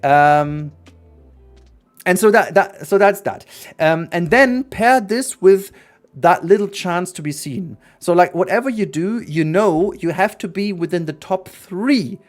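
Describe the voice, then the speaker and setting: A young man with a slightly high voice speaks English as a second language while chatting on a livestream. He is earnest and rambling, restarts sentences often, and keeps circling back to what he means. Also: expressive, measured pace, soft background music. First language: not English. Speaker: streamer. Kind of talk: casual livestream chat.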